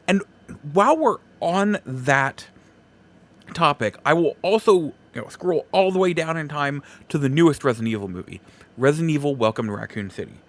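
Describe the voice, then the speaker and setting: A man's voice: fairly high pitch, expressive, normal speed. A man hosting a podcast solo, giving opinions in a casual, conversational and emphatic way. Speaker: podcast host.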